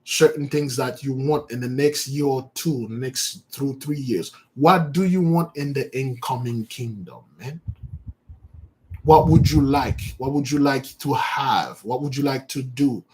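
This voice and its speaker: A man with as his giving an informal spiritual talk to his followers, animated, repeating words and calling out to his listeners. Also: medium pitch, measured pace, slight background noise, low-quality recording. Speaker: spiritual teacher.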